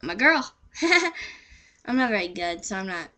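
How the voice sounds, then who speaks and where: A woman's voice: medium pitch, lively intonation, normal speed, pushed and bright. A teenage girl chatting casually to camera in an offhand, self-deprecating way. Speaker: amateur voice actor auditioning. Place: small bedroom, indoors. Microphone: webcam at arm's length.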